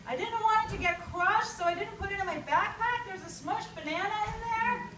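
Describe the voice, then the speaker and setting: Woman putting on a loud, high scatterbrained schoolboy. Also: brisk. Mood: loud, breezy. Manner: loud, hesitant excuse-making and breezy announcing. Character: scatterbrained, brightly confident schoolboy shouting excuses to his mom. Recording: echoey, distant live recording with loud noise.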